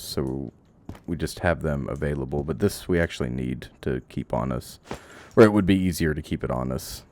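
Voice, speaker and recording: Very deep, gravelly man rambling over gameplay. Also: darkish tone. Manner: rambling, halting, sweary, talks to himself. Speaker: game streamer. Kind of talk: casual gameplay commentary, thinking aloud. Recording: audible background noise, clicks.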